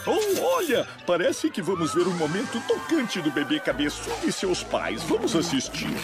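A Brazilian man voicing a gleeful, mocking monster who hosts a TV show in a cartoon, with theatrical, drawn-out announcing. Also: high-pitched, wildly swooping pitch, music in the background. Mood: hammy.

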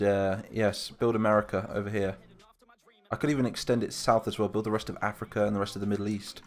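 A man with a Lincolnshire English accent, casually chatting and reading out viewer comments during a live stream while building a game map. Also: on the low side. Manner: chatty, rambling, reads chat comments aloud.

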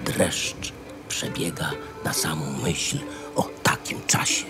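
A man narrating a fairy tale in a theatrical, expressive storytelling manner. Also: extremely low pitch, slow, faint music behind.

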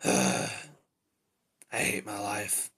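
A man performs a short-tempered male demon devil in a homemade cartoon-style monologue. The voice is rough and raspy with a hard, forceful edge. He blurts and mutters, irritable and easily flustered. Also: lowish voice, expressive, extremely slow, exaggerated voice. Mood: pleading, sulky. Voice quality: raspy, gravelly. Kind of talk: amateur character voice acting, improvised monologue.

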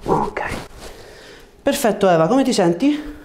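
A man in his thirties speaking as a chiropractor, giving short, gentle, reassuring instructions to a patient in a calm clinical way. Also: high-pitched, takes it slowly. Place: indoor chiropractic office. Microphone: lapel mic. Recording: faint background room noise.

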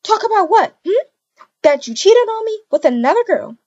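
Woman playing a high-pitched, exasperated wronged young mother. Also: sing-song, normal speed, darkish tone, dull audio. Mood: angry, hurt. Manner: sarcastic, confrontational.

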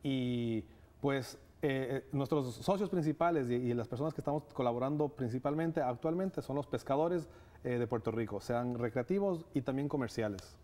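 Ecuadorian man, 30s, interview guest, mid-pitched, warm, lots of filler sounds. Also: normal speed. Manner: earnest, many "eh" fillers. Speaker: guest, nonprofit director. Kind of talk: studio interview. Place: TV studio set. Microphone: lapel mic.

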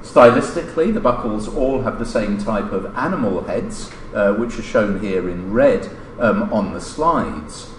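A man reading a formal academic conference paper aloud in a measured, scholarly way, pausing mid-phrase. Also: on the low side, lively intonation. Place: indoor lecture hall, at a lectern. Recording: echoey lecture room, distant mic, background noise.

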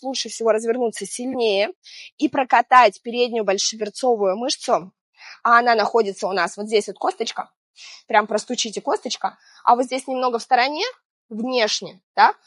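A woman in her thirties, hoarse from a cold, guiding an exercise routine outdoors by the sea in a chatty, gentle way with small laughs. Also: a little high, no room sound. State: hoarse from illness. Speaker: fitness instructor. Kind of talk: guided exercise instruction. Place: outdoors on a seaside promenade.

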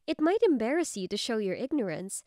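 A woman's voice: fairly high pitch, sing-song, brisk, warm tone, dry recording, studio quality. An actress playing a firm, protective young female office senior in a manga story dub, assertive and plain-spoken. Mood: tender.

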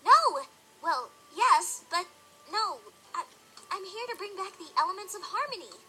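A woman's voice: very high-pitched, expressive, measured pace, pushed and bright, exaggerated voice. A woman playing an anxious, flustered young female pony in a cartoon-style audio drama. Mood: excited, urgent.

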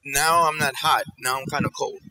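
Bright-voiced man giving a keynote. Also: fairly high pitch, fairly even intonation, normal speed, slight background noise, poor audio. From South Bronx, New York and Ecuador. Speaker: keynote speaker. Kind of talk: conference presentation. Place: auditorium stage at a podium.

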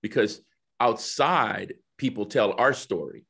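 A man in his fifties with a low voice talks as an interview guest. He explains things conversationally, pausing and restarting as he goes, and often checks in with a short tag question. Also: lively intonation, vocal fry, fairly bright tone, husky. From El Salvador, raised in the United States. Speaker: guest, corporate diversity executive. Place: indoor office, video call. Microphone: headset on a video call.

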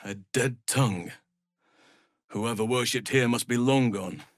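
A male actor playing a grave, earnest elf druid in a video game, speaking solemnly in measured, formal phrases. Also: mid-range voice, dry recording, studio quality, close to an everyday voice. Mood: solemn, sorrowful. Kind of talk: scripted game voice lines.

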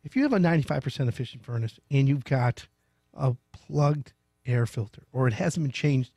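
A middle-aged man hosting a radio call-in interview in a small studio, speaking close to a large mic with animated, emphatic storytelling. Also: mid-range voice, normal speed, dry recording. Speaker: radio show host.

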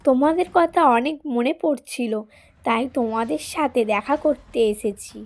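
A woman's voice: high voice, normal speed. A woman voicing a curious, easily startled young woman in a cartoon ghost story, with a questioning manner. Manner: wondering, questioning.

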